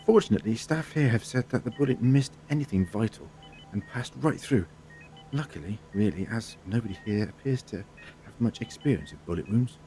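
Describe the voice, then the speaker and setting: An actor playing a wry, calm, authoritative adventurer in an audio drama, speaking in a measured, gently probing way. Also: male voice, mid-range voice, a little hiss or hum, natural voice, rough recording. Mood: wry, reassuring.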